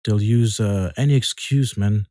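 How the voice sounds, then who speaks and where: A man's voice: on the low side, unhurried, mellow, no echo, clean studio sound. A man hosting a casual podcast, loose and profane, repeating himself as he talks, with a Canadian accent. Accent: Canadian English. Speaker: podcast host. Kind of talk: casual chat.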